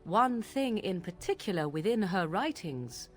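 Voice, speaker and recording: An actress playing a proud, troubled young witch in a fantasy game, with formal, archaic, deliberate phrasing. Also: lowish voice, big pitch swings, faint music behind. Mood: grave, disturbed.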